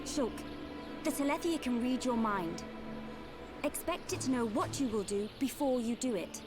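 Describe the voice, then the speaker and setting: Casting: actress as serious young warrior woman for a game, cautious and composed, slightly high voice, music underneath. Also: lively intonation, normal speed, close to an everyday voice. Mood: grave, cautioning. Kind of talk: video game voice acting. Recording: music behind the voice.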